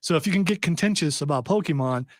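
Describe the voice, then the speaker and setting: A man's voice: fairly high pitch, animated, fast, a little mellow, no room sound. A man hosting a live pop-culture talk show, chatty and joking, with loose rambling asides, talking into a studio mic. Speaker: podcast host. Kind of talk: casual live show chat.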